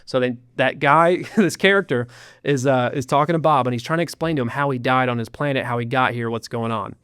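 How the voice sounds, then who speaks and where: Animated sarcastic man in a dry room. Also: mid-range voice, a little fast, clear, resonant tone, no echo. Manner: animated storyteller, sarcastic asides. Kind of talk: casual chat.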